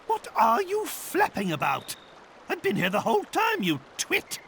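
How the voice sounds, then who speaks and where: A man's voice: extremely high pitch, huge pitch swings, a little slow, fairly bright tone, a little hiss or hum, exaggerated voice. An actor playing a pompous, doting old king in a video game, with regal, exclamatory phrasing. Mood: indignant. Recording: music playing behind the voice. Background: game music.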